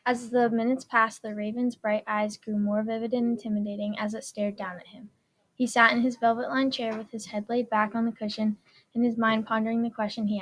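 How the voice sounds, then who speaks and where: A young woman reads a story aloud as a student narrator. Her voice sits a little high and stays very even in tone, with a careful, read-from-the-page delivery. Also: poor audio. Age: teens or young adult. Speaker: student reader. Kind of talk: scripted narration, reading a story retelling aloud.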